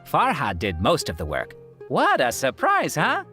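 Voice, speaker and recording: A bubbly, teasing theater friend. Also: female voice, deep voice, a little slow, exaggerated voice. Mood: cheerful. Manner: bubbly and animated. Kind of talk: video game voice acting. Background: game music.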